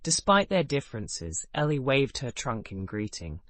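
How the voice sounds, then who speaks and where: A man narrating a scripted bedtime story in a calm, measured way, his sentences falling away at the ends. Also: medium pitch, no echo.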